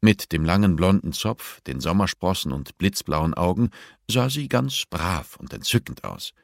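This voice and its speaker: Male audiobook narrator, low register, scripted fiction reading, dry studio sound. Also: sing-song, measured pace, gravelly, no room sound.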